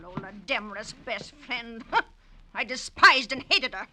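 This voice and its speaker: A woman's voice: fairly high pitch, measured pace, bright, forceful tone, slight background noise. An actress playing a bitter, scornful woman villain in a 1940s radio drama. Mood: bitter contempt. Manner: scornful, resentful, emphatic. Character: bitter, vengeful woman villain holding a gun.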